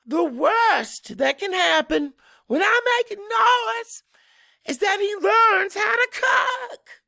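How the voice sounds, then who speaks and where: A woman's voice: very high voice, animated, takes it slowly, vocal fry, sharp tone, dry recording, studio quality, full character voice. An actress playing a bitter, fed-up Cajun wife and mother in an audiobook drama, biting and sarcastic. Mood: furious, accusing.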